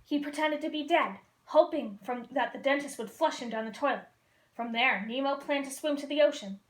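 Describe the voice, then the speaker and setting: A woman reading a children's picture book aloud as a costumed storyteller, in a lively, expressive way. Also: sits high, normal speed. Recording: roomy echo.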